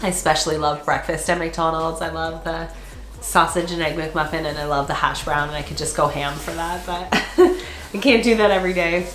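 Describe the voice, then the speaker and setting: A woman vlogger with a congested, stuffed-up voice, chatting casually to her camera and laughing often. Her voice sits on the low side for a woman. The sound bounces around an echoey room. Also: moderate pace, a bit bright. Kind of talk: casual chat.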